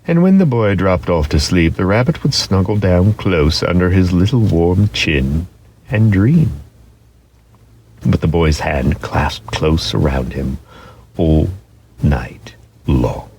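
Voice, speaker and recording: A man with a deep voice narrates a storybook in a dramatic style. His delivery swings widely in pitch and lingers on key words, with some faint noise behind him. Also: lively intonation, slow pace, a little mellow.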